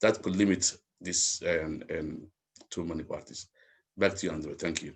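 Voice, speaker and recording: A man's voice: low voice, fairly even intonation, normal speed, slightly rough. A man putting questions to a webinar panel, rambling and repeating himself as he talks. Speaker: webinar participant. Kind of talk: panel discussion remarks. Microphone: video call.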